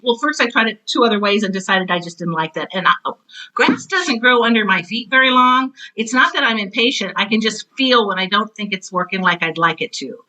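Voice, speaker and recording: A woman's voice: mid-range voice, lively intonation, fairly quick, bright, forceful tone, low-quality recording. A middle-aged American woman being interviewed, chatting enthusiastically and with self-deprecating asides, like a teacher telling her own stories. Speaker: podcast guest, teacher and author. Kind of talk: casual interview chat.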